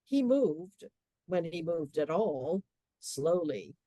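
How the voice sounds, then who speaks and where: A woman narrating a comic period radio play with measured, wry storytelling. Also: fairly low pitch, big pitch swings, mellow, no room sound.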